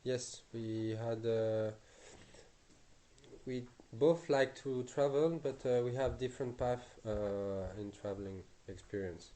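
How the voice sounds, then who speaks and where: Slow, halting man from Tahiti. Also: medium pitch, warm tone, poor audio. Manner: halting, searching for words. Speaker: guest. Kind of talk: casual interview.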